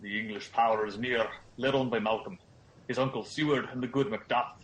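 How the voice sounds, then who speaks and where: A man playing a forceful, vengeful rebel nobleman in a Shakespearean radio drama. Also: medium pitch, fairly even intonation, brisk, close to an everyday voice. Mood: urgent, grim. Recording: noisy recording with ambience.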